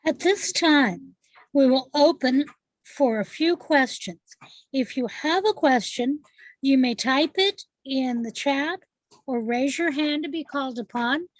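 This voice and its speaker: High-voiced woman moderating, dry sound. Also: no room sound, low-quality recording. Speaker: session moderator. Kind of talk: moderating audience questions in an online meeting. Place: online video meeting.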